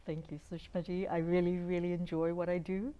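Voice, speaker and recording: Older woman from Uganda, interview guest, low and mellow, earnest, halting talk. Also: flat delivery, clean tone, muffled recording. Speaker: guest, dentist.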